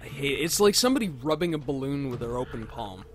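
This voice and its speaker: A man's voice: medium pitch, big pitch swings, fast, slight background noise. A man co-commentating on a video game with playful, teasing casual banter. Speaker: let's play co-commentator.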